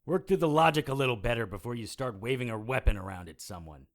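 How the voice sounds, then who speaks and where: A man's voice: medium pitch, lively intonation, fast talker, heavy background noise, lightly acted. A man playing a wry, weary supernatural private investigator in an audio drama, with a dry, sardonic manner. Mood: alarmed, angry. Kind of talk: audio drama acting with first-person noir narration.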